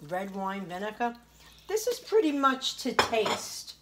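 A woman's voice: medium pitch, very animated, unhurried, noisy background. Chatty woman cooking in her home kitchen. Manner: chatty, homey, tasting and praising the food as she goes. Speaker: home cooking show host. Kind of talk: casual cooking demonstration. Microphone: camera on the counter at arm's length.

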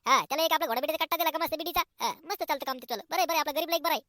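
A man playing a chatty, evasive village fellow in a comic cartoon, with a rural Maharashtrian accent and an excitable, colloquial way of talking. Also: extremely high pitch, rapid-fire, no room sound, clean studio sound. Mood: chatty, insistent. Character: chatty, evasive village men dodging tax collectors.

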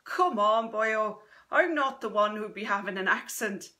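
A woman voicing an elderly Irish grandmother in an audiobook, guarded, gentle and reflective, with an Irish accent. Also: medium pitch, lively intonation, measured pace. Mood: teasing.

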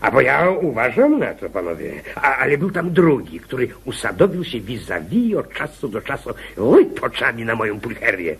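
A man's voice: medium pitch, huge pitch swings, moderate pace, hardly any pauses, breathy edge, full character voice. A man acting in a radio comedy as a jealous, overprotective husband, stammering, fussy, pompous and self-righteous. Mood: boastful storytelling.

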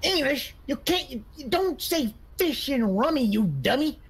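A man's voice: extremely high pitch, lively intonation, a little slow, a bit bright, exaggerated voice. A man playing a bumbling, sneering country sheriff who stammers and repeats his words in a comedy game roleplay. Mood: sneering, smug.

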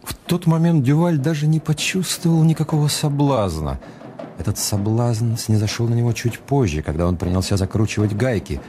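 An actor narrating a detective radio play in a measured, scripted storytelling style. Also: male voice, mid-range voice, mellow, slight background noise, lightly acted.

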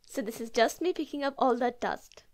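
A woman's voice: on the high side, a little fast, very noisy. Chatty young woman in echoey room. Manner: chatty and instructive, dropping to quiet asides while working. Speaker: vlogger. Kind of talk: clean-with-me tutorial. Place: small carpeted room indoors. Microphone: camera at arm's length.

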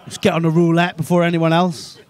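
A man doing an animated, crude stand-up set on a small comedy club stage into a handheld mic. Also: on the high side, fairly quick, a faint crowd, a little hiss or hum. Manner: animated, crude, ranting and incredulous.

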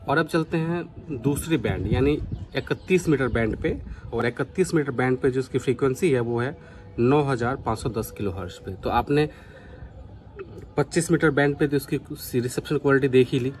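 A man's voice: medium pitch, fairly quick, darkish tone, a little hiss or hum. A man giving a casual live demonstration as a vlogger. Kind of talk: casual live demo commentary.

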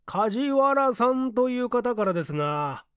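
A man's voice: very high-pitched, big pitch swings, measured pace, clear, resonant tone, no echo, studio quality, voice pushed up, full character voice. A man voicing a deferential middle-aged night-duty clerk in a story reading, politely reporting. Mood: dutiful. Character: deferential male night-duty office clerk, around fifty.

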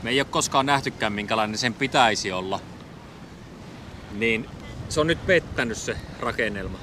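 A middle-aged man from Finland casually narrating his sailing trip to an action camera, thinking aloud with plenty of fillers. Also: lowish voice. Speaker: sailing vlogger. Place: outdoors on a sailboat cockpit under a canopy. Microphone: action camera mounted on the boat. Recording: wind and water noise behind.

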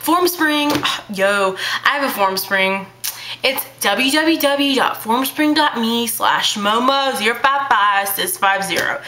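A teenage girl vlogging to her webcam in her bedroom, talking fast and hyper in a childlike baby voice, full of fillers. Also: a little high, animated, sharp tone. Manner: bubbly, hyper, chatty with many fillers. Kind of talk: casual chat to camera. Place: small bedroom indoors. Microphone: webcam at arm's length.

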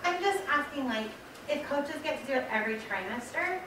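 An American woman speaking informally and haltingly in a meeting discussion, often saying "like". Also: high voice, animated. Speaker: meeting participant. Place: indoor public board meeting room. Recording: very echoey room, distant, noisy.

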